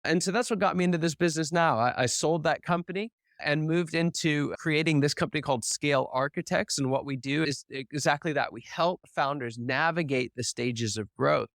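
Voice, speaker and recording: A man's voice: on the high side, fairly quick, hardly any pauses, fairly bright tone, dry recording, clean studio sound. A man telling his story as a guest on an interview podcast, earnest and reflective, often checking in with "right?". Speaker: podcast guest, business founder.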